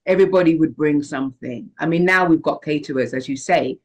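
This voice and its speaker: A woman's voice: sits low, brisk, a little mellow. Female moderator from the Caribbean, conversational and thinking aloud, heard over a video call. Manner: thoughtful, with frequent restarts and repeated words. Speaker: moderator of an online discussion. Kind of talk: casual online group discussion.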